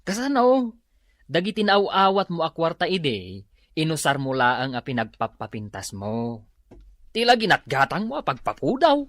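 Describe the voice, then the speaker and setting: A woman's voice: sits low, sing-song, moderate pace, dry recording, clean studio sound. A woman with a Filipino accent playing a teasing, scolding woman in a comedic radio drama.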